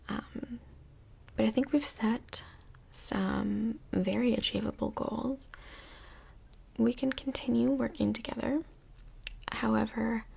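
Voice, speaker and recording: Woman doing a soft asmr couples counsellor lady, slightly high breathy voice, tons of fry, gentle and chatty. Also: exaggerated voice. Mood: calm, thoughtful. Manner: gentle, measured therapist talk with reflective pauses. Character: calm, chatty female couples counsellor working in Hell. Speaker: ASMR role-play performer. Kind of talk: soft-spoken ASMR role play.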